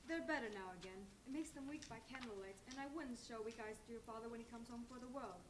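A woman's voice: a little high, fast, natural voice. A woman playing a sorrowful mother, heard from afar. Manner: gentle, sorrowful. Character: gentle grieving mother, Mrs Cratchit. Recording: echoey and distant, hall noise.

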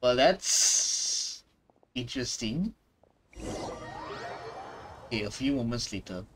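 A man's voice: mid-range voice, expressive, slow pace, noisy background. A man reacting to a game story at his desk, talking in a laid-back, hesitant way with joking asides. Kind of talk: casual reaction commentary. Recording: voice over game music.

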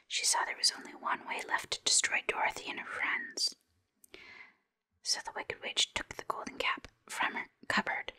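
A woman softly whispering a storybook aloud in a steady, unhurried narration for ASMR. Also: very high voice.

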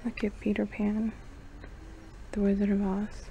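Chatty young woman, gravelly voice. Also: mid-range voice, monotone, a little slow, warm tone, muffled recording, slight background noise. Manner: chatty, thinking aloud, hedging with "I don't know". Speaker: travel vlogger. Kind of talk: casual vlog narration. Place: shops and mall, indoors. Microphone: handheld camera at arm's length.

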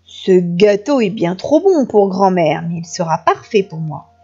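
A woman's voice: mid-range voice, sing-song, a little slow, mellow, slightly rough, lo-fi sound, full character voice. A woman voicing a mean, scornful little girl in a children's story, with a grumbling, insolent manner.

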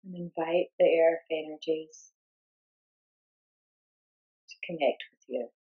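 A woman leading a guided meditation, giving gentle, soothing instructions in short, unhurried phrases with long pauses. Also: deep voice, breathy edge, phone-quality sound.

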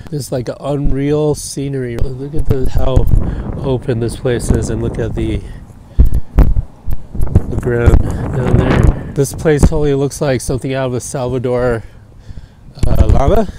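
A man's voice: mid-range voice, fairly even intonation, measured pace, very noisy. A man in his thirties, a travel vlogger chatting casually and thinking aloud as he walks outdoors, recorded on a wired earphone mic. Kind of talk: casual vlog commentary. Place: outdoors at a geothermal park.

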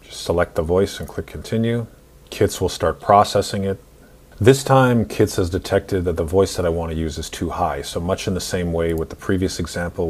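A man in his forties narrating a screen-recorded software tutorial in an instructional, step-by-step way. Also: low-pitched. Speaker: tutorial host.

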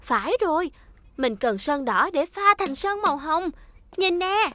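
A woman's voice: high voice, sing-song, measured pace. A woman voicing a cheerful young vehicle character in a dubbed children's cartoon, bubbly and childlike. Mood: cheerful, reassuring. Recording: music behind the voice.

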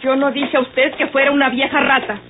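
An actress playing a prim, easily offended building manageress, stiffly formal and husky, in an old radio drama. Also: female voice, high voice, level tone, fairly quick, background noise, lightly acted. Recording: tinny vintage radio recording.

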